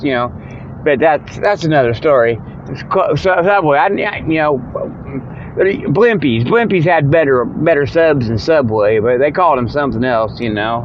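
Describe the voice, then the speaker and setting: A man hosting a podcast, rambling casually in a folksy, jokey, meandering way while driving in a car. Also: fairly high pitch. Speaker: podcast host. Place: inside a moving car. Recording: dull lo-fi, car engine noise. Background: vehicle motor noise.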